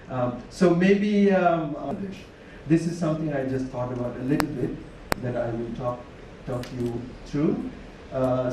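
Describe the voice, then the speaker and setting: A man's voice: mid-range voice, dark tone, slight background noise. A Bangladeshi man whose first language is Tripura, giving a formal, thoughtful conference talk as a panel speaker, with frequent restarts. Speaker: panel speaker, university lecturer. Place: large conference hall. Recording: distant echoey hall recording.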